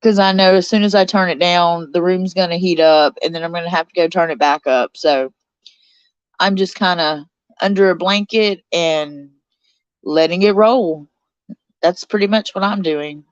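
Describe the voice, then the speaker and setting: Woman in her forties, lowish smooth voice, stuffy sinuses, chatty live-stream host, close dry mic, slightly dull sound. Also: moderate pace, no room sound. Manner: chatty, reads out viewer comments. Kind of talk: casual live stream chat. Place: cold bedroom under a blanket.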